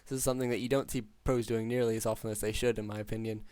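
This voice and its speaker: A man with a hoarse but smooth voice calls a strategy match on his own. He drifts through the plays with ums and quick reads, pitch barely moving. The sound is dry and close, with no room around him. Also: no room sound. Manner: steady, filler-heavy analytical play-by-play. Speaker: game caster. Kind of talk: solo esports play-by-play commentary.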